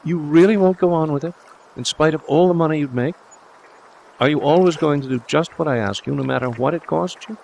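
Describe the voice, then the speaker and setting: Male narrator as a soft-hearted young woman, raised pitch, earnest, pleading, storybook dialogue, slight noise. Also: brisk, creaky. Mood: awed. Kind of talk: audiobook character dialogue.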